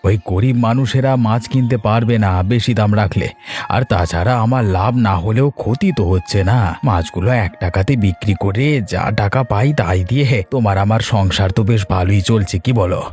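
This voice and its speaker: Cartoon good guy male actor: principled humble fishmonger, patient, lowish pitch, faint background music. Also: a little fast, a little mellow, lightly acted. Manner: patient, reasoning. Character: kind, principled poor village fishmonger and husband. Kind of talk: Bengali cartoon story acting.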